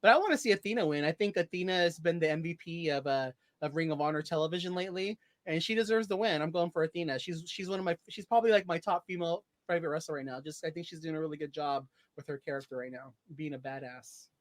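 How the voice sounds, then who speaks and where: A man co-hosting a casual wrestling podcast, jokey and quick, laughing between lines and trailing off at the ends of sentences. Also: on the high side, dry recording. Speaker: podcast co-host.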